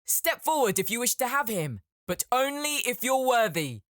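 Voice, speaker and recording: Man voicing a theatrical young mage, swooping pitch. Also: big pitch swings, moderate pace, fairly bright tone, no echo, clean studio sound, exaggerated voice. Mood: grand, showy. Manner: theatrical, grand announcing. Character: showy, smirking young male game mage.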